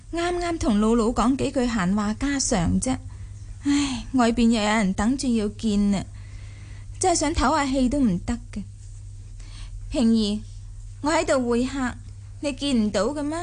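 A woman's voice: a little high, normal speed, long pauses, darkish tone, close to an everyday voice. A woman playing a young, shrewd, imperious mistress of a rich household in a Cantonese radio drama. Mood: put-upon. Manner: imperious, smoothly sociable.

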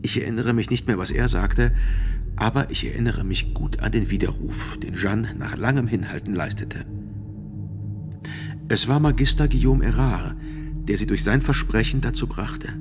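An actor playing a sober physician who gives measured, precise testimony as a witness in a radio drama. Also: male voice, lowish voice, music in the background, close to an everyday voice. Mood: sober, grave.

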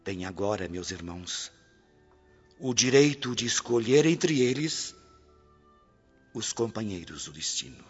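A man acting a solemn, benevolent spirit guide who preaches forgiveness in a measured way in a radio drama. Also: on the low side, big pitch swings, breathy edge, heavily acted. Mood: solemn, exhorting. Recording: muffled, long reverb, music behind.

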